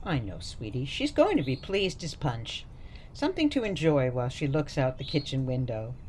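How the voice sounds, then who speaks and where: A woman's voice: very deep voice, very animated, normal speed, darkish tone, slight background noise. A woman playing a sweet, chatty, meddling elderly mother in a radio play, coaxing and cheerfully oblivious. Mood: sweetly pleased. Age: older.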